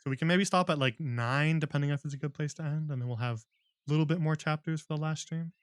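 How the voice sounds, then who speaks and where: A man's voice: a little high, quick pace, clean tone, no echo. A man hosting a livestream book club, chatting with viewers and laughing often before reading a novel aloud.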